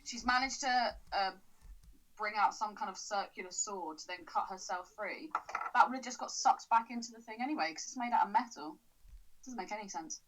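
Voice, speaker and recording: A woman's voice: medium pitch, a little fast, a bit bright, rough recording. Woman poking holes on a podcast. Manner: questioning, picks apart logic, casual swearing. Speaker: podcast co-host. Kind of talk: casual film discussion.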